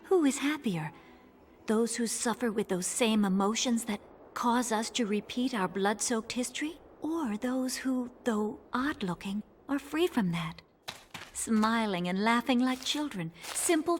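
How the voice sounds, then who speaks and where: A woman playing a gentle, earnest, sorrowful nun in a video game drama, solemn and pleading. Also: fairly high pitch, animated, unhurried, a little hiss or hum. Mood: earnest persuasion. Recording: loud music under the voice. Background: loud dramatic music.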